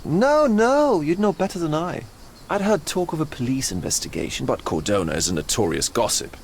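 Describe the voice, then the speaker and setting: An actor playing a genteel, theatrical middle-aged art dealer in a video game, florid and teasing with a sly, probing edge. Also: male voice, on the high side, big pitch swings, slight background noise. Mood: sly, polite. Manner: florid, teasing, drawn-out phrasing. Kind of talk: video game cutscene acting.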